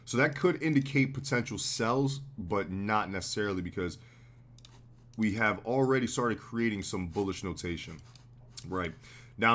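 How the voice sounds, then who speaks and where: An American man with a slightly hoarse voice, hosting a live trading stream in a relaxed, slangy way. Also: on the low side. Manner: relaxed, loose, slangy explaining with fillers. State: slightly hoarse, getting sick. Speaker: trading livestream host. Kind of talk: casual live market commentary.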